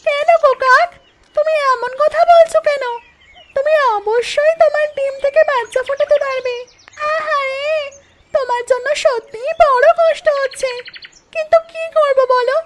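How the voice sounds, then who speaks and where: A performer voicing a kind, sympathetic mother duck in a cartoon story, gentle and caring. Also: extremely high pitch, steady tone, normal speed, noisy background. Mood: concerned.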